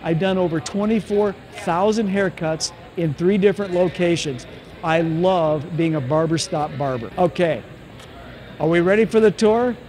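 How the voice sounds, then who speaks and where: Upbeat older barber pitching to camera. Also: male voice, normal speed, a little mellow. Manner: upbeat salesman pitch, rhetorical questions. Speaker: barber and franchise owner. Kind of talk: direct-to-camera promotional vlog. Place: indoor shopping mall. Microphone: camera on tripod at mid distance.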